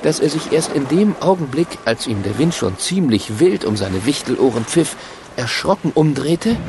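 A man's voice: mid-range voice, background noise. A man narrating a children's radio play in a vivid storytelling manner. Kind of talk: scripted audio drama narration.

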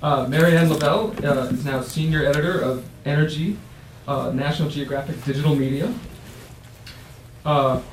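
A man's voice: a little high, fairly even intonation. Distant man moderating a panel. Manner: halting, many "uh" fillers. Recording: roomy, distant mic with faint noise.

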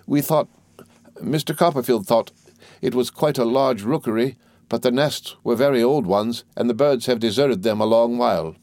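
A man's voice: mid-range voice, moderate pace, a little mellow, no room sound, pitched above the performer's own voice, natural voice. A man voicing a timid, helpless young mother in an audiobook, with a meek, faltering, plaintive manner. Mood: hesitant, polite. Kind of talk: audiobook character voice.

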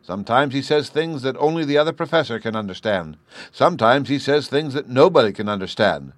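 A man voicing a kindly, jolly father who chats loudly and fondly in a storybook reading. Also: medium pitch, animated, brisk, dry recording, voice pushed up, close to an everyday voice. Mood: admiring. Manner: hearty, loud, fond.

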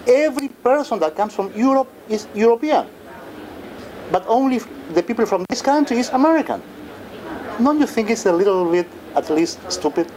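A middle-aged man speaks at a forum with rhetorical passion, his voice pitched high and swinging widely. The recording carries a clear layer of background noise. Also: expressive, moderate pace, a little mellow, a faint crowd, low-quality recording. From Chile. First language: Spanish. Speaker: panelist, poet. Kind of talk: forum discussion.

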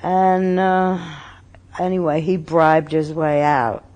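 An older woman from Austria telling her story in an oral history interview, halting, with many fillers and pauses. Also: low voice, steady tone, a little mellow.

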